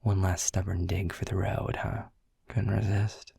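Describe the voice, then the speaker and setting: A world-weary, grumpy middle manager, played by a man in an audio roleplay, speaking low and unhurried in a breathy half-whisper. His gravelly, sardonic voice teases and curses lightly, dry and close. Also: little pitch movement, no room sound, full character voice. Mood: wry, teasing. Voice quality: hushed, breathy. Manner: sardonic, teasing, swears casually. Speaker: boss.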